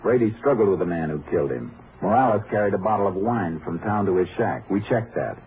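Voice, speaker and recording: An actor playing a calm, skeptical Texas Ranger in a 1950s radio drama, speaking in a dry, measured, deadpan way. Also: male voice, low-pitched, slight background noise. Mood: firm, skeptical. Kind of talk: 1950s radio drama acting, first-person narration and dialogue. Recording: muffled vintage radio recording with music.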